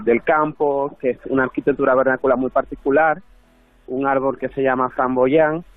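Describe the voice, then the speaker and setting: A man's voice: mid-range voice, no room sound. A man with a Dominican accent giving conversational answers as a guest in an interview. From Dominican Republic. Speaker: interview guest, artist and designer. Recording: thin phone-like band, faint music.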